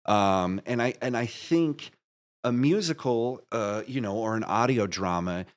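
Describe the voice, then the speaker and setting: A man answering questions as an interview guest in a casual, rambling way, often halting and interrupting himself. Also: mid-range voice. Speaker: interview guest, marketer and musical creator.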